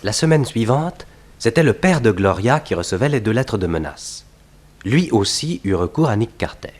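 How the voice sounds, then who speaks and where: A man's voice: medium pitch, sing-song, moderate pace, husky. A man narrating a 1960s French radio crime serial in a scripted, dramatic storytelling manner.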